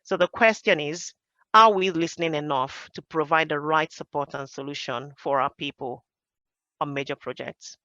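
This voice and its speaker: A middle-aged Nigerian-accented woman talks in a personal, conversational way on a panel. Her voice has a bright, forceful edge and an emphatic, reflective manner. The sound is dry and close, though a little dull. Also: low voice, moderate pace, dry recording. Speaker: podcast panellist, major projects professional.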